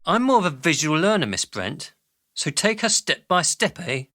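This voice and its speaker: Pompous male chairman, patronising, blustering, sharp bright voice, lively swooping delivery, radio drama. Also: fairly high pitch, moderate pace. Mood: smug. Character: brash, condescending male corporate chairman. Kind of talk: audio drama acting.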